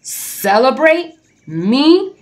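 Woman voicing a high, whiny grumpy monkey. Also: big pitch swings, drawn-out words, exaggerated voice. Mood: grumpy, exasperated. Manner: snappy, complaining, emphatic. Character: grumpy whining young male monkey.

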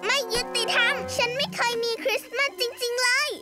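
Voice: very high-pitched, level tone, a little fast. A performer voicing a sulky, lonely young girl villain in a children's cartoon dub, petulant, with music behind. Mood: sulky, hesitant.

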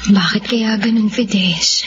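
A woman's voice: mid-range voice, takes it slowly, over music, lightly acted. A woman playing a worried young sweetheart in a Filipino radio drama, confiding wistfully. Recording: dull, lo-fi audio with faint noise.

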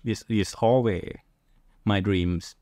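A man's voice: on the low side, takes it slowly, mellow, dry recording. A middle-aged man from Thailand with a Thai accent, answering casually as an interview guest, often searching for words. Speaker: podcast guest, film director.

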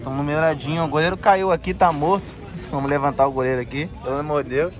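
Excited Carioca man at a flea market. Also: fairly high pitch, fast talker. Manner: excited, slangy, exclaims often. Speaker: vlogger. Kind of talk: casual handheld market vlog. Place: outdoor street flea market. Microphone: phone camera held in hand.

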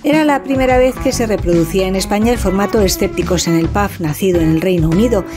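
Female voice-over, measured documentary read over music. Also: mid-range voice. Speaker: narrator. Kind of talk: scripted documentary narration.

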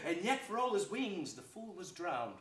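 A man's voice: high voice, fairly bright tone, exaggerated voice. An actor playing a gleefully wicked, murderous royal villain in a theatrical Shakespearean stage reading, mocking and declamatory as he savors his words. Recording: echoey theatre stage recording.

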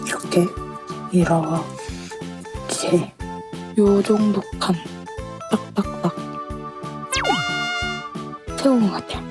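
A woman with a cold, her voice a little rough, chatting casually as she works on a paper craft project and thinking aloud. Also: mid-range voice, very slow, pauses often, music in the background. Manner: chatty, thinks aloud, asks herself questions, soft asides. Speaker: stationery craft vlogger. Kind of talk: casual narration while crafting.

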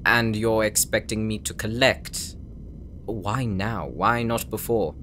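A man's voice: on the low side, takes it slowly, a bit bright, a little hiss or hum. A man playing a stubborn, guarded warrior smith in an audio roleplay drama, terse and earnestly indignant. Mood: wary, irritated. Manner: terse, defensive.

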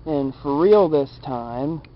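A man's voice: a little high, expressive, takes it slowly. A man narrating a casual experiment vlog as its host, in a jokey, mock-affectionate way. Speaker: video show host. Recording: dull lo-fi camera audio, background noise.

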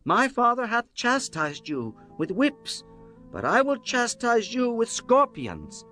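A bold, commanding figure in an audio scripture drama. He declaims archaic words in a high, widely swinging voice with music behind. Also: wildly swooping pitch, takes it slowly, bright, forceful tone, full character voice. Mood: arrogant. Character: bold, commanding man making solemn pronouncements.

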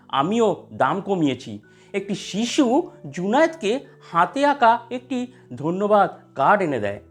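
A man narrating a scripted animated story in an expressive storytelling manner. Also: high voice. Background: music.

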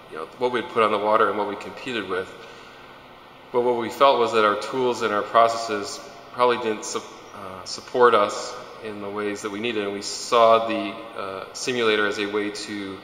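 Man, 30s, low-ish bright voice, flat, echoey big room, slight hum. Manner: conversational, hesitant restarts. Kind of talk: corporate video interview. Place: large indoor simulator hall. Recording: echoey hall with faint hum.

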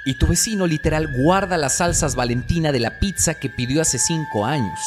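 A man's voice: fairly high pitch, fairly quick, over music. A Mexican man hosting a comedy podcast, talking in a conversational, humorous, slangy monologue style.

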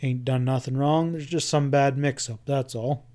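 A man playing a folksy, gruff rancher. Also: mid-range voice, fairly even intonation, normal speed, darkish tone, natural voice. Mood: gruff, reassuring. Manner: folksy rural drawl, gruff and reassuring. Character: awkward, kindly rancher man, out of his depth.

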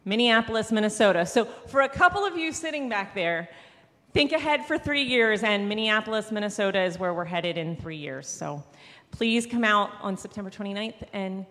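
A woman's voice: medium pitch, lively intonation, normal speed, fairly bright tone. A woman giving a casual, rambling report to a church congregation, often correcting herself as she goes. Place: large church sanctuary. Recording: long church reverb.

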